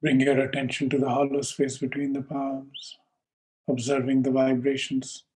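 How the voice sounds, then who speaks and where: A man teaching yoga and guiding a meditation in slow, calm, measured short phrases with pauses between them. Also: mid-range voice, poor audio. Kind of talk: slow guided meditation instruction.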